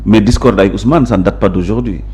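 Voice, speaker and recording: A man's voice: lowish voice, fast talker, darkish tone. A man with a Senegalese accent, a guest in a conversational interview, explaining his positions in an assertive, emphatic way. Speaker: interview guest, local politician. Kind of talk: conversational interview, mixing Wolof and French.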